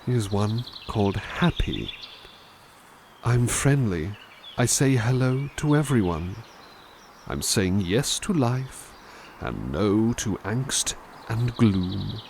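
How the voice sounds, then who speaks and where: A man's voice: fairly low pitch, expressive, slow pace, creaky, darkish tone, slight background noise, exaggerated voice. A man playing a kind, courteous butler who reads poems aloud and muses on them in a formal, polite way for an ASMR roleplay. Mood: reciting. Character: kind, courteous male butler reading to listener.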